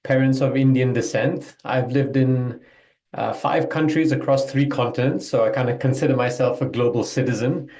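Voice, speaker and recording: A man's voice: mid-range voice, a little mellow, roomy sound. A man from Belgium, a business coach, talking reflectively and loosely about his career as a podcast guest. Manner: reflective, loose storytelling with fillers. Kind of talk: podcast interview.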